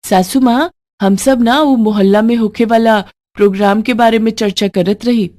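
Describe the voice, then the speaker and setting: A woman's voice: a little high, fairly even intonation, normal speed, a little mellow, close to an everyday voice. A woman voicing a plaintive, argumentative young daughter-in-law with a Bhojpuri accent in a moral story. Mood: explaining.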